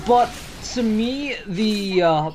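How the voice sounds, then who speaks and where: A man's voice: high voice, expressive, slow pace, darkish tone, a little hiss or hum. A man hosting a co-op let's play, chatting casually and rambling through self-corrections about the game. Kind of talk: casual co-op gameplay chat.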